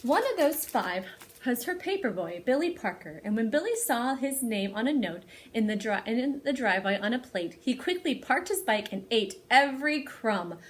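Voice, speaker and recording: Lively, high-voiced woman hosting children's storytime. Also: moderate pace. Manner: animated, addresses young children directly. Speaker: library storytime host. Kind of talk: expressive children's storybook read-aloud.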